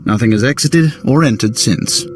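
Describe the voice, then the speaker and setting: Formal Imperial general, played by a man, mid-pitched. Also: normal speed, natural voice. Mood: earnest, respectful. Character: formal Imperial general, dutiful mission leader. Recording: game music behind the voice.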